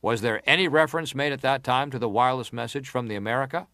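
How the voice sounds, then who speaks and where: Casting: middle-aged male senator in hearing drama, medium pitch, probing and formal, deliberate delivery, close dry recording. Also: bright, forceful tone, no echo. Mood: probing, insistent. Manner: deliberate, formal, pressing questions. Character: probing, persistent senator questioning a witness. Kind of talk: dramatised reading of hearing interrogation.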